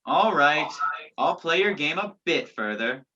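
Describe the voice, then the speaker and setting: A young woman playing a sceptical, gallant gentleman in an amateur radio play, with a theatrical, incredulous manner. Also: low voice, lively intonation, a little slow, heavily acted. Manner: incredulous, theatrical, old-fashioned phrasing. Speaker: student actor in a school radio play. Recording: dull lo-fi home recording.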